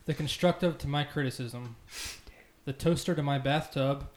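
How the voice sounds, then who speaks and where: Male host for casual podcast banter, playfully grandiose, mid-pitched, recorded in a roomy space. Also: moderate pace. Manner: mock-grandiose, playful introductions. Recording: echoey room, faint noise.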